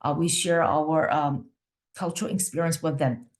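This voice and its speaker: A woman whose first language is not English, giving a teaching presentation over a video call in a conversational way, often checking in with "right?" and pausing on fillers. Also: sits low, steady tone, darkish tone. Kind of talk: webinar presentation.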